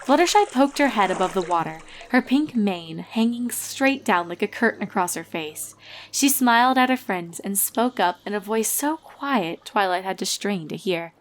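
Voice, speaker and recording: A woman's voice: mid-range voice, expressive, normal speed, a little hiss or hum, rough recording. A woman reading fan fiction aloud as a scripted story narrator.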